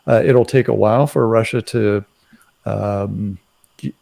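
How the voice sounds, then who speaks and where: A man in a casual current affairs discussion, speaking haltingly and often tagging his phrases with "right". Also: fairly low pitch, steady tone, creaky, mellow. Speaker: commentator on a live talk show.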